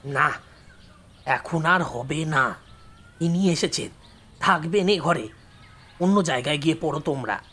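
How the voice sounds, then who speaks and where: A woman voicing a bossy, talkative caretaker man with a husky, emphatic delivery in an audio story drama. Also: sits low, lively intonation, a little slow, long pauses, a bit bright, voice pushed down, exaggerated voice. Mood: scolding. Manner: commanding, scolding, emphatic.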